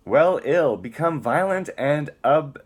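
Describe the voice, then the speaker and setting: A man's voice: on the high side, animated, a little slow. A man giving a dramatic, theatrical spoken-word reading, moving between emphatic lines and muttered casual asides.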